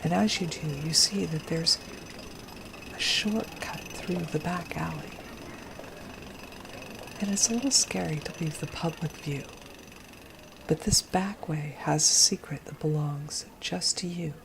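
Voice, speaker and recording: A woman leading a guided meditation in a gentle, unhurried, breathy voice that often nears a whisper. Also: sits low, background noise. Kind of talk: guided meditation, slow soothing narration. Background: meditation music.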